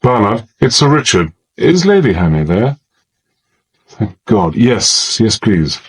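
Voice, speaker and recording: A gentleman adventurer in a dramatized thriller. His husky, fairly deep voice is grave and confiding, with a tense edge suited to dangerous undercover work. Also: very animated, slow pace, heavily acted. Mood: urgent. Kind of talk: dramatized first-person narration.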